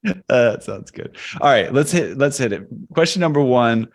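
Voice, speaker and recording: A man's voice: medium pitch, expressive, moderate pace. Male host, playful, jokey, casual. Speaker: podcast host. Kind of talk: casual chat.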